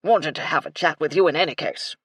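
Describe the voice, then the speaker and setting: Bluff old military gentleman, English, booming and clipped, eager storybook buffer. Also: brisk, slightly rough, no room sound, clean studio sound. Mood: jovial, blustery. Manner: clipped, blustery, old-fashioned upper-class phrases.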